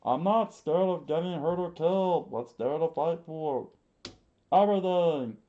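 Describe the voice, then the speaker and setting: A man voicing a fiery young boy who wants to fight, shrill and defiant, on a dull lo-fi recording. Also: expressive, a little slow, warm tone.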